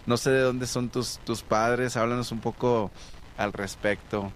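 A man's voice: mid-range voice, steady tone, unhurried, a little hiss or hum. A man, asking questions in a casual interview in a friendly, slangy way.

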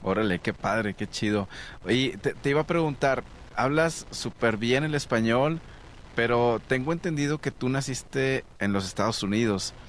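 A man's voice: medium pitch, expressive, normal speed, a little hiss or hum. A man, asking questions in a casual interview in a friendly, slangy way.

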